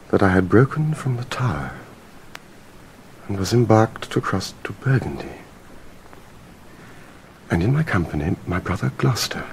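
A haunted noble prisoner in a Shakespearean audio drama. His voice is deep and grave, over faint background noise. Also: a little mellow, poor audio. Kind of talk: theatrical Shakespearean acting.

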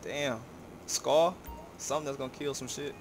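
A man's voice: mid-range voice, steady tone, moderate pace. Heavily slangy man over noticeable game noise. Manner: heavy slang, profane. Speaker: fellow online roleplay player. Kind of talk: casual in-game chat. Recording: clear game and vehicle noise behind.

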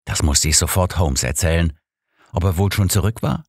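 Deep-voiced male audiobook narrator. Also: moderate pace, dry recording, clean studio sound. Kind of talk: scripted first-person story narration.

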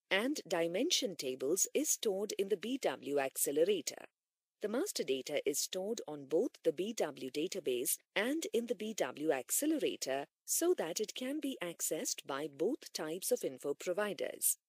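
Female e-learning narrator: lower register, varied intonation, formal scripted read. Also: big pitch swings, slightly rough, no echo, clean studio sound. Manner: measured, formal reading.

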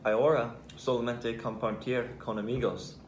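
A young man with an American accent and English as his first language, co-hosting a cooking vlog in deliberate learner's Spanish. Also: medium pitch, flat delivery. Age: young adult. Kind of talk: rehearsed recipe narration. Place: indoors, home kitchen and dining room. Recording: echoey home kitchen, loud background noise.

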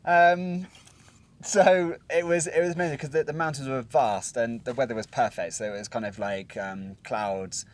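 A man's voice: mid-range voice, steady tone, moderate pace. A young British man recapping a trip in casual chat, rambling with plenty of ums and restarts, sitting outdoors at a hilltop viewpoint. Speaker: travel vlogger.

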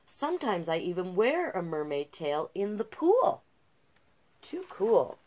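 A middle-aged woman with a slightly low voice reads a children's picture book aloud at a slow pace. She is expressive and drops in her own asides as storytime host. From Canada. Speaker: drag king storytime host. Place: small cluttered indoor room.

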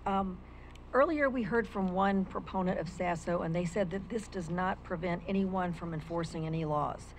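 A woman's voice: lowish voice, normal speed, slight background noise, poor audio. An older woman reading a prepared legal argument as public comment at a county meeting, speaking into a stand microphone. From United States. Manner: formal, argumentative, reads quotes from legal texts. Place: county meeting room, indoors. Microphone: stand microphone at a lectern.

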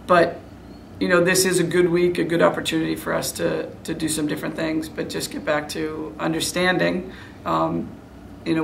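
Middle-aged female coach, postgame interview: heavy vocal fry, even delivery, frank and serious. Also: sits low, slight background noise, rough recording. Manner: frank, self-critical, serious. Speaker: head basketball coach. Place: indoor room before a press backdrop.

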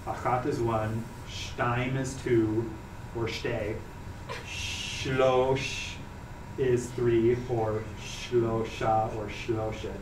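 A young man teaching a language class, explaining patiently with relaxed fillers. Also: mid-range voice. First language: English. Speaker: Hebrew teacher. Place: carpeted classroom, students seated around. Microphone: far camera. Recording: echoey room from far off, noisy.

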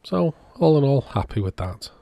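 A man's voice: medium pitch, very animated, normal speed, gravelly, dark tone. A man giving a casual, matter-of-fact workbench narration as the host of an electronics repair video.

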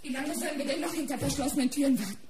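A woman acts out a frightened, overwrought young suspect in a radio crime drama. Her voice sits high and she pleads in agitated, dramatic phrases. Faint noise and clicks sit in the recording. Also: very fast, darkish tone, close to an everyday voice. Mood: desperate, indignant. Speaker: actress in a radio crime play.

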